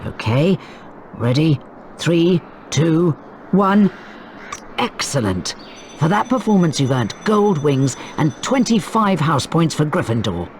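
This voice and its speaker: Casting: brisk game coach at a wizard school, very lively intonation, encouraging, over faint background noise. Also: male voice, fairly high pitch. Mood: rousing. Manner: instructive, energetic announcing. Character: brisk, encouraging male broomstick sports coach. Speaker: Quidditch coach. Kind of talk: scripted video game tutorial.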